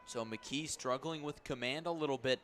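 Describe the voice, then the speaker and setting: A man's voice: medium pitch, normal speed, a bit bright, slight background noise. A man doing live baseball play-by-play on the radio in clipped phrases, stretching key words. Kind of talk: live sports commentary.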